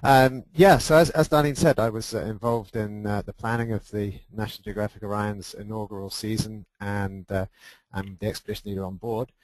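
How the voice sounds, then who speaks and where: Man, stuffy-nosed, lowish voice, dry sound; hesitant, casual presenter. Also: steady tone, brisk, no room sound, poor audio. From United Kingdom. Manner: hesitant, many uhs and restarts. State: stuffy nose from hay fever. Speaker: expedition leader.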